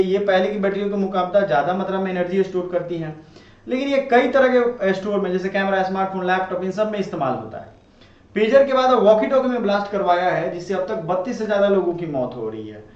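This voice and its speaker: A man's voice: high-pitched, expressive, quick pace. An Indian man teaching current affairs informally, explaining in a conversational way and often checking that listeners follow. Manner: conversational, checks understanding with rhetorical tags. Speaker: online teacher. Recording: muffled, roomy, distant mic, faint noise.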